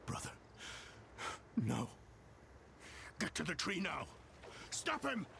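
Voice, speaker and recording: Earnest middle-aged male leader for a game cutscene; hesitant, gravelly, lively intonation, unhurried. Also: high-pitched, slight background noise, full character voice. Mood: worried. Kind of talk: dramatic video game cutscene acting.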